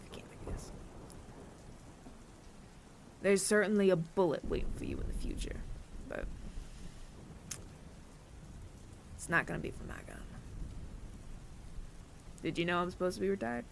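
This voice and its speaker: A man playing a weary older android bounty hunter with reluctant mercy in an intimate audio roleplay, breathy and often near-whispered, deliberate and wry. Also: high voice, expressive, slight background noise. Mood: weary, resigned.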